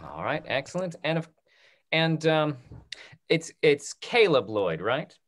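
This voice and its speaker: A man in his thirties moderating a talk-back on a video call in a casual, halting way, often repeating his words. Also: on the high side, very animated. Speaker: host of a theatre talk-back.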